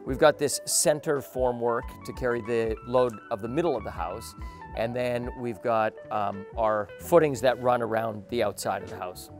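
A man in his forties hosts a casual outdoor building walkthrough. His talk is conversational and jokey, with a self-deprecating streak. Faint music plays behind his middle-pitched voice. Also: moderate pace. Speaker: host and builder. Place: outdoor residential construction site under a raised house.

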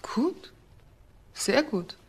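A woman playing a cool, bitter divorced wife in a crime radio drama, composed, detached and curt. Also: very slow.

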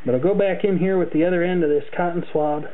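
A man's voice: high-pitched, fairly even intonation, fast talker, phone-quality sound. A man narrating an unscripted hands-on tutorial, explaining things carefully step by step into a camera's microphone. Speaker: hobbyist demonstrator. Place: indoors, at a tape deck.

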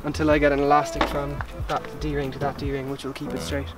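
A man's voice: on the high side, a little fast. A young man giving a casual, matter-of-fact tour of his small sailboat outdoors in a harbour, recorded by a camera held nearby. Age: young adult. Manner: relaxed, matter-of-fact explaining with fillers. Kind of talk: casual explanatory vlog. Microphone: camera held by someone nearby. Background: music.

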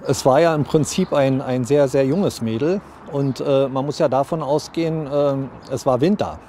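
A middle-aged man with a mellow, slightly dark voice answers an interview haltingly as a police spokesman. He is outdoors, with faint street noise behind him. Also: mid-range voice. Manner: halting, hesitant. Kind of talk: interview recollection. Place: outdoors by a building.

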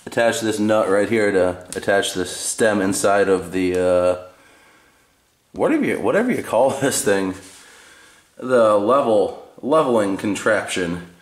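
Man, low-pitched, casual rambling how-to talk, home garage, camera mic, echoey. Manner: laid-back, rambling, with fillers and self-corrections. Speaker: garage tool reviewer. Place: home garage with concrete floor. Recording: echoey garage, faint background noise.

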